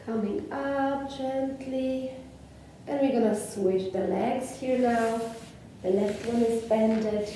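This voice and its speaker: A woman with a Polish accent gives slow, soothing yoga cues with long pauses in a mellow, unhurried voice. She sits well back from the mic in a very echoey room, with a faint hiss underneath. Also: on the high side, recorded from a distance. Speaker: yoga instructor. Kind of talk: guided yoga class instruction.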